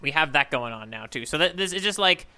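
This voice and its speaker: A man's voice: a little high, animated, fast talker, sharp tone, clear, resonant tone. A man co-hosting a casual podcast discussion, thinking aloud and tentatively working through his ideas as he speaks. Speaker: podcast co-host, newcomer reader giving theories.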